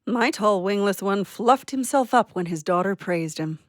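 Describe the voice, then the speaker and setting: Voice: medium pitch, lively intonation, moderate pace, no room sound, clean studio sound, lightly acted. An actor voicing a small warbler that fondly watches over its human in an audio play.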